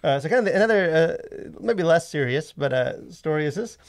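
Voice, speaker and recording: A man's voice: on the high side, expressive, a little fast. A man hosting a casual podcast, rambling with many asides into a close studio mic. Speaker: podcast host and movie reviewer. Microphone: close boom-arm studio mic.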